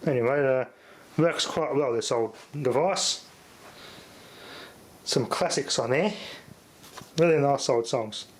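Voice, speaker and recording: A man's voice: medium pitch, slow pace, long pauses, slight background noise. A man with an Australian accent giving a casual, unscripted demonstration in a laid-back, rambling, matter-of-fact way. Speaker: host of a repair channel.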